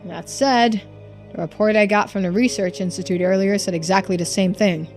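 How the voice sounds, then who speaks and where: A woman plays a greedy older collector from a story game, obsessed with living forever. The talk is blunt, folksy and dismissive, in a mid-pitched voice, with faint music underneath. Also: steady tone, moderate pace, darkish tone, lightly acted. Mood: grudging. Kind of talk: video game dialogue read aloud.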